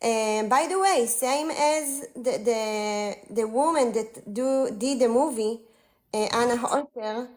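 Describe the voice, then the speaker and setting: A woman in her forties with an Israeli accent, a guest speaking over a live call, earnest and halting, with many fillers. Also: on the high side, darkish tone. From northern Israel. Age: 40s. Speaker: guest on a livestream. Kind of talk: casual conversation.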